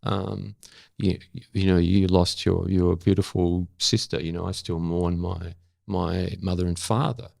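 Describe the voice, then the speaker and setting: A seventy-year-old Australian man talking reflectively as an interview guest, thoughtful and halting, repeating words for emphasis. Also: low voice, flat delivery, vocal fry, a little mellow, dry recording, studio quality. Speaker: interview guest, former journalist and actor.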